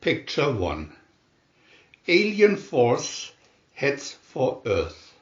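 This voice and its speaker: Male narrator, slow and dramatic, lively swooping pitch, for picture-story booklet readings. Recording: dull, echoey room recording.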